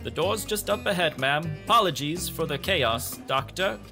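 A man's voice: on the high side, animated, normal speed, music in the background, pitched above the performer's own voice. A man voicing a flustered, deferential ship's assistant in a tabletop game, speaking in a formal, apologetic manner.

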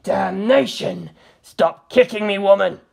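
A woman voicing a bloodthirsty, melodramatic male Chaos Marine figurine in an audio story, speaking with slow menace. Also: medium pitch, gravelly, darkish tone, husky, exaggerated voice. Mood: frantic.

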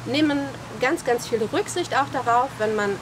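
A woman in her thirties runs a community centre and speaks fluently and assuredly, a little high in pitch. She is outdoors, and the open-air ambience is clearly heard behind her. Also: moderate pace, background noise. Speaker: managing director of a community centre. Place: outdoors in a garden.

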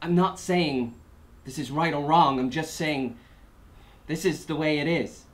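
A man's voice: on the high side, natural voice. A man playing a bitter, defiant prisoner who addresses the court in a dramatic film monologue, often repeating his words. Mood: controlled, bitter. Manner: halting, repeats words, building from subdued to shouting. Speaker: actor performing a film monologue.

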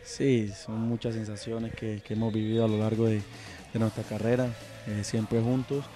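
A footballer reminiscing about his career in a relaxed interview. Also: lowish voice, normal speed, music in the background. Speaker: teammate, footballer. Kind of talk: interview answers.

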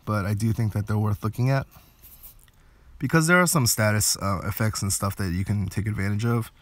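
Low-voiced man casually commentating gameplay. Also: a little fast, no echo. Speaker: game video creator.

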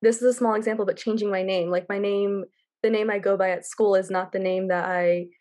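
A woman's voice: mid-range voice, steady tone, fairly quick, darkish tone. A young woman student sharing a personal reflection over a video call from her room, earnest and full of fillers. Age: young adult. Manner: earnest, uses many fillers. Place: bedroom, indoors.

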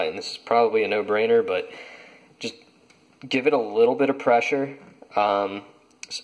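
Hesitant low-voiced young man. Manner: hesitant, many ums and uhs. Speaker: vlogger renovating his home. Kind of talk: casual how-to narration. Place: kitchen in a house, indoors. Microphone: handheld camera.

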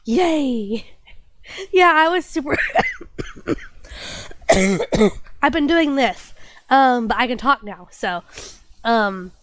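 A woman's voice: fairly high pitch, expressive, extremely slow, pauses often, fairly bright tone, no echo. A young woman streaming and chatting casually with her viewers, stuffy-nosed with a lingering cough, bubbly and apologetic. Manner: bubbly, apologetic, lots of fillers.

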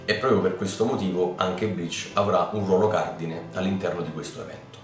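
A young man from Italy presenting anime news to his viewers in a chatty, excitable way, recorded at home on a camera mic. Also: sits low, a little fast. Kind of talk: casual news vlog. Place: home room with keyboard and lamp. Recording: roomy, slightly distant mic with faint music.

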